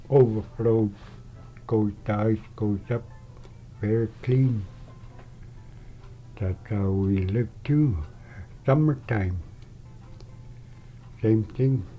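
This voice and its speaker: Older man, low voice, unhurried elder recollection over faint background music. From Wales, Alaska. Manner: slow, halting, plain-spoken reminiscing. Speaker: elder interviewee. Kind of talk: oral history recollection. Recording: slightly dull recording with faint music behind.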